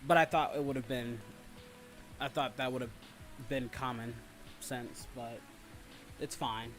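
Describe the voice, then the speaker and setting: A man's voice: mid-range voice, steady tone, measured pace. Man rambling casually over music. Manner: rambling, profane. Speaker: livestream host. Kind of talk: casual chat with listeners. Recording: music playing behind the voice. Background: background music.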